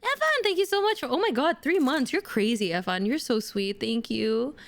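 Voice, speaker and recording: A woman's voice: sits high, expressive, normal speed. A young woman from Indonesia, chatting playfully as she streams herself building a model kit. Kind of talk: casual live-stream chat while building a model kit.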